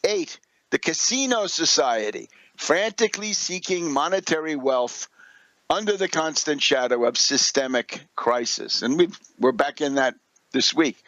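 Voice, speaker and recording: A man's voice: a little high, expressive, a little slow, a bit bright, no echo, low-quality recording. An older American man giving unscripted political radio commentary, lecturing emphatically through his points and checking in with short tag questions. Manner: emphatic, didactic, often tags points with "right?".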